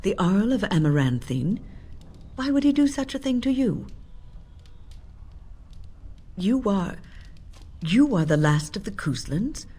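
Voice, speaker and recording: A woman playing a gentle, consoling, devout young companion in a fantasy video game, with a soft, breathy voice. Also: mid-range voice, very animated, slow, long pauses, full character voice. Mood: curious, shocked.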